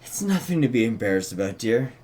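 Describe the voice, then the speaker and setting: A man's voice: medium pitch, sing-song, fast talker, darkish tone, voice pushed down. A man voicing a wry, patronizing witch with an old soul, full of fond endearments, in a script read-through. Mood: knowing, gently patronizing.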